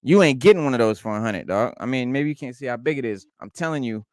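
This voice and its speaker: A man's voice: mid-range voice, lively intonation, fast, a little mellow, no room sound, studio quality. Profane rambling man. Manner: rambling, profane, lots of restarts. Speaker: podcast co-host. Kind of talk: casual unboxing chat.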